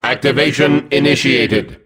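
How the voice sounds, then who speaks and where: Destructive game robot, medium pitch, husky, robotic, blunt, clipped military commands. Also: male voice, measured pace. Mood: cold, commanding. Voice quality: robotic, gruff. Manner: flat, mechanical, clipped commands. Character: hulking male combat robot, blunt, vengeful and destructive. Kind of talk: video game voice acting.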